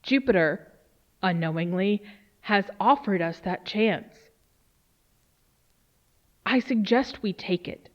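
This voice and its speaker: A woman acting as a grave, resolute research official in an audio drama. She speaks at a middling pitch with solemn, deliberate weight. The recording is a little muffled. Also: lively intonation. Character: grave female research official briefing on a doomsday alien threat. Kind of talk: dramatic scripted monologue.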